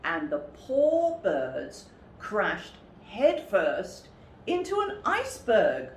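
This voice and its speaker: Slow, animated woman reading stories. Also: medium pitch. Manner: dramatic, deliberate. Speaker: storybook reader for a school story time. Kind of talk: expressive children's story reading. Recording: roomy, distant mic, faint background noise.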